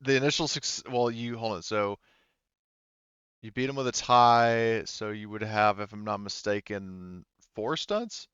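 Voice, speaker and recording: A man's voice: lowish voice, unhurried, fairly bright tone, clean tone, no room sound, lo-fi sound. A man running a tabletop roleplaying game as game master, talking casually and hesitantly as he thinks aloud.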